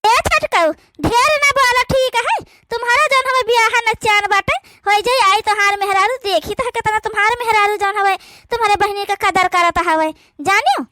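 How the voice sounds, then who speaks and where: A woman voicing a resentful, sharp-tongued village sister-in-law in a family cartoon, complaining and taunting. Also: very high voice, moderate pace, dry recording, clean studio sound. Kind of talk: rural family cartoon dialogue.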